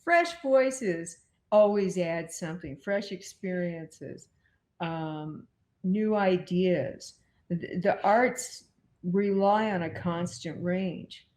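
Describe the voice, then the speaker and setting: A woman giving reflective interview answers as a guest, measured and thoughtful, speaking in concise general statements. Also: on the low side, a little mellow. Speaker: guest, producer.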